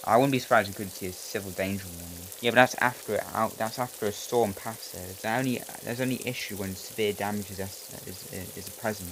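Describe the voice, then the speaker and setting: Low-voiced man in casual storm-chaser banter. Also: a little fast, few pauses, a bit bright, a little hiss or hum, rough recording. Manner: loose chatty talk with frequent restarts. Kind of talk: casual banter among friends.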